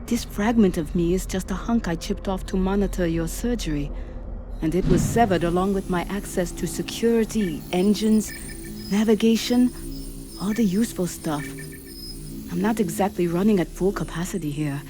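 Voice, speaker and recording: An actor playing a damaged shipboard artificial intelligence, speaking in a measured, precise and dryly witty way in an audio drama. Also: medium pitch, music in the background, natural voice. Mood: dry, grave. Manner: measured, precise, dry wit. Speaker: ship's AI character. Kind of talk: dramatised science fiction audio story.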